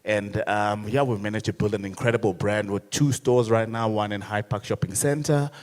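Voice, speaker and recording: A man speaking as a panelist at a conference, talking in a relaxed way with many fillers. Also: on the low side, moderate pace. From South Africa. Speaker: panelist, fashion designer. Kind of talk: panel introduction. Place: conference hall. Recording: reverberant hall.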